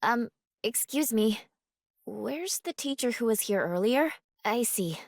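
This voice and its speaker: A polite schoolgirl in a video game. Her voice sits high and moves slowly, with a measured, courteous delivery. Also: lively intonation, dry recording, clean studio sound. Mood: polite, inquiring. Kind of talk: video game character acting.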